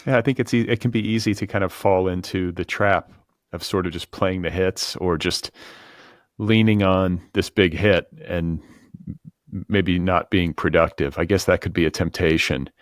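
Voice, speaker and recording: A man's voice: low voice, steady tone, moderate pace, no room sound, studio quality. A man hosting a long-form interview podcast, conversational, musing his way tentatively into questions.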